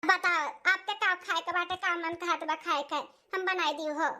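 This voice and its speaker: A woman voicing squeaky village women in an Awadhi cartoon family comedy, with an eastern Uttar Pradesh accent. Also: fairly even intonation, moderate pace, bright, forceful tone, full character voice. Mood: reassuring, dutiful. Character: women of a village family: bossy sister-in-law, meek young bride, mother-in-law.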